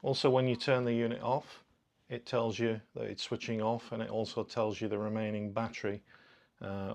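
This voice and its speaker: A man's voice: mid-range voice, vocal fry. A man presenting a product in a casual, informal way, explaining things step by step as he demonstrates. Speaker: product review host. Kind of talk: casual unboxing and fitting demonstration.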